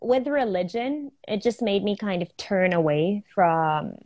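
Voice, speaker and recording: A woman's voice: mid-range voice, unhurried, darkish tone, no room sound, clean studio sound. A woman in casual personal conversation, talking informally and thinking aloud as she goes. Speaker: astrology enthusiast in conversation.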